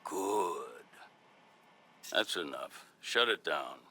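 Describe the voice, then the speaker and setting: A man playing an arrogant, menacing strategist in a video game, speaking with haughty, deliberate condescension. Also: extremely low pitch, expressive, pushed and bright, husky, full character voice. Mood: cold, commanding. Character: arrogant, menacing male strategist villain, coldly superior. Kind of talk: video game cutscene acting.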